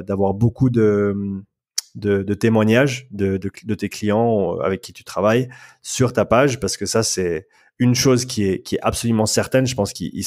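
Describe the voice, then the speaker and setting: Male guest, low-ish voice, casual and hesitant, restarts often. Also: darkish tone. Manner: hesitant, many restarts and fillers; bilingual. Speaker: guest, strength coach. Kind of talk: casual podcast interview.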